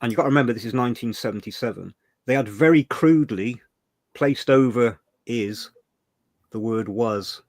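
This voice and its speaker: A man's voice: medium pitch, moderate pace, darkish tone, dry recording, clean studio sound. An older man from England, co-hosting a livestream, chatting about old memories with mild swearing. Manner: chatty, emotional, swears mildly. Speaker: livestream co-host. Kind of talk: casual reminiscing chat.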